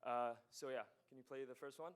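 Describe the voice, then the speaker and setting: A young man in his early twenties speaks into a handheld mic at a conference. His voice is smooth and clear, sitting at a middle pitch. He talks in a loose, hesitant way, full of fillers and false starts. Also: fairly even intonation, fairly quick. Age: 20s. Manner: casual, hesitant with many fillers and restarts. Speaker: student presenter. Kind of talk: conference presentation. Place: conference hall.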